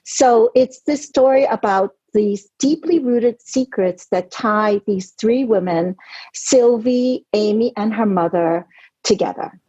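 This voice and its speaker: Husky-voiced woman telling her story. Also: mid-range voice, takes it slowly, warm tone, no echo. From born in Hong Kong, raised in New York City. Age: 40s. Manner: earnest, reflective storytelling with frequent "you know". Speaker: novelist guest. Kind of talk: conversational podcast interview. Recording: dry, dull lo-fi audio.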